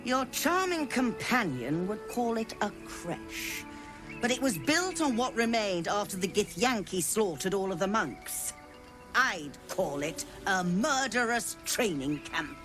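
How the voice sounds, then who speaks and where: An actress voicing a haughty, scheming merchant woman who talks theatrically and flatters to get her way. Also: medium pitch, unhurried, over music. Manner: theatrical, wheedling, affected.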